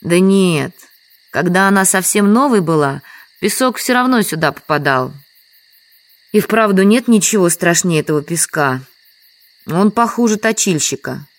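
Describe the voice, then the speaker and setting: An actress playing a meek, apologetic and quietly stubborn village hostess in an audio drama. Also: female voice, on the low side, expressive, normal speed, no room sound. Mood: patient explaining. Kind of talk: Russian audio drama dialogue.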